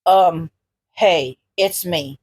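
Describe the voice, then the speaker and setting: A woman voicing a gruff, crass male superhero in a story, blunt and casual. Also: lowish voice, very slow, darkish tone, pitched below the performer's own voice, heavily acted. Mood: awkward.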